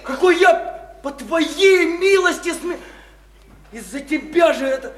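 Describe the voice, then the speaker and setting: An actor playing a bitter, wounded would-be writer in a radio play, ranting scornfully with stammered repetitions. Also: male voice, very high voice, animated, measured pace. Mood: furious ranting. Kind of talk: theatrical radio play acting. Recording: roomy, faintly noisy 1991 radio play recording.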